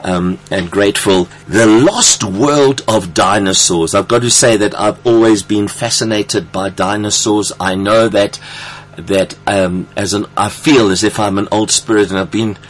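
Effusive male radio host, low voice. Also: low-quality recording. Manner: effusive, gushing, drawn-out phrasing. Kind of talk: radio interview. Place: radio studio.

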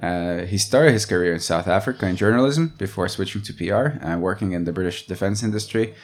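A man's voice: deep voice, brisk. A man hosting an interview, introducing his guest and asking questions with many hesitations. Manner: hesitant, many fillers and restarts.

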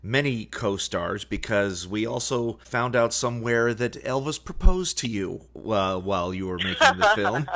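A man's voice: mid-range voice, lively intonation, unhurried, hardly any pauses, fairly bright tone. A man hosting a radio interview casually, feeling his way into questions with repeated words.

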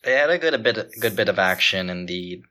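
Low, very bright-voiced man recounting scenes. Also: expressive, a little fast. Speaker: podcast host. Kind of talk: casual chat, recounting TV scenes.

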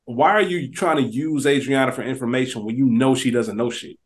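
A man with a mid-pitched voice talking through a show on a podcast. He builds his points with animated emphasis. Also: a little fast. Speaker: podcast co-host. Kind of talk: casual recap chat.

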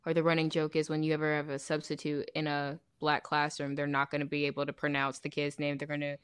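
Smooth-voiced young woman, emphatic and animated. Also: low-pitched, fast talker. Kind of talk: casual discussion.

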